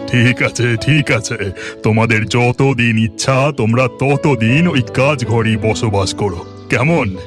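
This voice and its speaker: A man playing a kindly, poor village woodcutter who talks in a gentle, plain, reassuring way in a fairy-tale cartoon. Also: medium pitch, takes it slowly, over music, lightly acted.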